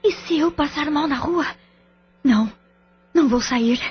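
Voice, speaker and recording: A woman playing a high-voiced troubled mother. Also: measured pace, a little hiss or hum. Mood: anxious. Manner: anxious, pleading, distressed. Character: troubled middle-aged mother, anxious and pleading. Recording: dull lo-fi audio with faint music.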